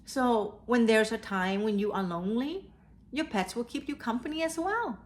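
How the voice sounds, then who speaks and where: A woman hosting a read-aloud for children, telling stories and giving advice in a deliberate way. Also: fairly high pitch, animated. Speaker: host of a children's read-aloud storytelling show. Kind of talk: storytelling and advice addressed to children.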